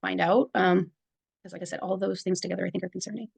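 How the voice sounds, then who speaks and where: A woman's voice: lowish voice, steady tone, fast, vocal fry, breathy edge, no room sound. A woman who is a kindergarten teacher chatting casually on a video call, rambling with many fillers. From native English speaker. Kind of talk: casual mentor meeting chat.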